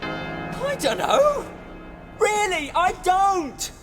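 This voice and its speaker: Loud music backs a terrified henchman in a video game. The voice is extremely high and drawn out, pleading in panic. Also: very animated, drawn-out words, heavily acted. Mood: panicked. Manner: panicky, stammering denials. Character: frightened, pleading henchman under interrogation. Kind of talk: video game cutscene acting.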